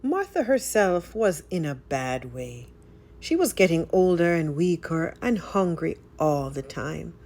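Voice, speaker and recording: A woman narrates a story with real theatrical flair. Her voice sits low, and it swings widely up and down as she goes. The delivery is scripted and expressive, shaped like a read-aloud tale. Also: a little slow. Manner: dramatic, animated storytelling.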